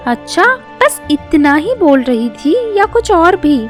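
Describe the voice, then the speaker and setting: A woman's voice: sits high, sing-song, takes it slowly, faint music behind, heavily acted. A woman voicing an angry young female friend, blunt and accusing, in a storybook tale. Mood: suspicious.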